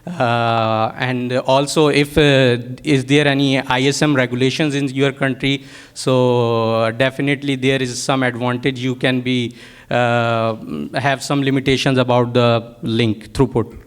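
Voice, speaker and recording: A man's voice: medium pitch, little pitch movement, unhurried, clear, resonant tone. A man from Pakistan, a native Urdu speaker with a Pakistani accent, gives a technical workshop presentation as a product manager, often hesitating and searching for words.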